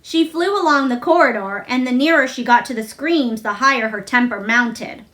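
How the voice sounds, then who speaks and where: A woman reading a children's novel aloud as narrator, in an expressive storytelling style. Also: a little high, normal speed. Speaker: storybook reader.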